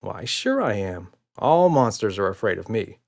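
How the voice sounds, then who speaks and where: A man playing a calm, confident storybook father, reassuring and playfully boastful. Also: lowish voice, moderate pace, gravelly. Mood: playful confidence.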